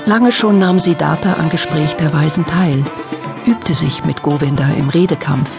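A woman narrating a literary radio drama in a solemn, lyrical, measured manner. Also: low voice, vocal fry. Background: music.

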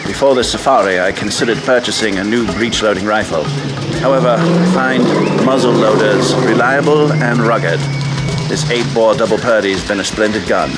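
A man's voice: on the low side, normal speed, loud music behind the voice, close to an everyday voice. Man acting a dry, blunt big-game hunter. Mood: dry, assured. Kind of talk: radio adventure drama acting. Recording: noisy outdoor sound effects behind.

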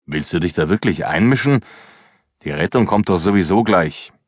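A man's voice: low-pitched, animated, moderate pace, no echo, clean studio sound. A man voicing a short, portly middle-aged police detective in a matter-of-fact way.

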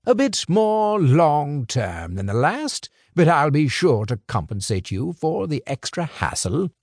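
A smooth crime boss , as a man puts him on drawls out each word slowly and persuasively. His pitch swings widely up and down as he talks. The sound is close and dry, with no room around it. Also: very animated, darkish tone, no room sound, full character voice. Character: smooth, scheming older male crime boss.

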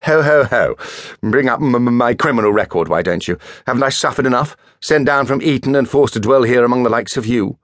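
A man's voice: mid-range voice, brisk, a bit bright, dry recording, studio quality, voice pushed up, close to an everyday voice. A man voicing a theatrical, cocky upper-class teenage schoolboy with an affected drawl. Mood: teasing.

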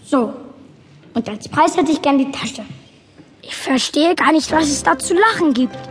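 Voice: sits high, expressive, measured pace. An actor playing an earnest, quirky young boy who narrates his story matter-of-factly in a children's audio drama. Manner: matter-of-fact, childlike, explanatory. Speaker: narrator and main character.

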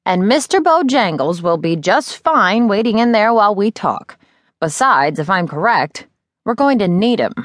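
A brisk, kindly older woman mentor of magic, as a woman narrator puts her on for an audiobook. Her voice is lifted well above the narration and sounds close and dry. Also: mid-range voice, sing-song, dry recording, voice pushed up, lightly acted. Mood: brisk, matter-of-fact. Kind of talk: audiobook character dialogue.

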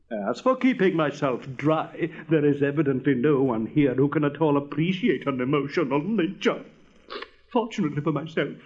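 A man's voice: a little high, a little mellow, lo-fi sound, natural voice. A man voicing a vain, pompous, easily offended firework rocket in a storybook reading. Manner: pompous, severe, indignant.

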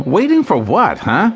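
An actor playing a scheming male jazz clarinetist in an audio drama, smooth-talking and sly. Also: on the high side, exaggerated voice. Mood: sardonic. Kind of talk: radio drama acting.

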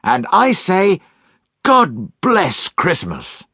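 A bright, good-hearted young man pleads his case warmly, voiced by a man reading aloud. His voice lifts high and bounces through a wide, lively range at an unhurried pace. The sound is close and dry. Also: husky, no echo, full character voice. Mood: cheerful. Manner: warm, pleading. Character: cheerful, kind young man. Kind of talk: storybook reading.

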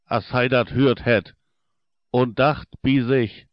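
A man with a Low German accent narrating a fairy tale for children in a deliberate storytelling manner. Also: fairly even intonation, no echo, muffled recording. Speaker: audiobook narrator.

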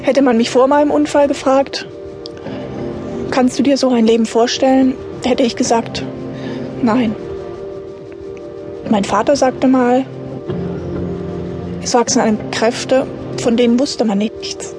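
A woman in her thirties with a fairly high voice narrates her own story. She speaks in short, deliberate phrases and keeps it matter-of-fact. Music plays clearly behind her. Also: fairly even intonation. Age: 30s. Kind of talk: scripted autobiographical audiobook narration.

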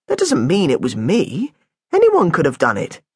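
A man voicing a flustered schoolboy who defends himself earnestly and rambles on, with a husky voice. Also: fairly high pitch, huge pitch swings, normal speed, dry recording, studio quality, voice pushed up, heavily acted. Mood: defensive. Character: flustered schoolboy protesting his innocence.

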